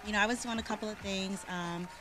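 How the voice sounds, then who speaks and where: A woman's voice: mid-range voice, quick pace, crowd noise. Chatty woman from Boston, music behind. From Boston, Massachusetts. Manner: chatty, lots of ums and restarts, laughs easily. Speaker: guest. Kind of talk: casual interview talk.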